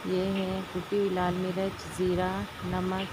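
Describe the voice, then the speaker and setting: Home cook, female; flat and lowish, steady explaining tone, phone-recorded over a loud frying pan. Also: measured pace. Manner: steady, explains each step, often adds a checking "theek hai". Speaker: cooking channel host. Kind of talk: casual cooking demonstration. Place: home kitchen, over a stove. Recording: loud kitchen noise behind, sizzling frying.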